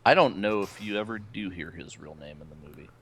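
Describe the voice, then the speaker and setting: A man's voice: sits low, brisk, a bit bright. A man wisecracking in casual chat as a podcast panelist on an online voice call. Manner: jokey, wisecracking. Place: remote online group voice call. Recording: clearly noisy voice-chat audio.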